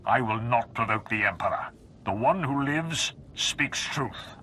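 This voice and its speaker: An actor playing a grave, calculating dark councillor in a video game, speaking in a measured, deliberate, aloof way. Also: male voice, medium pitch, lively intonation, slight background noise. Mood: aloof, wary. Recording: music under the voice.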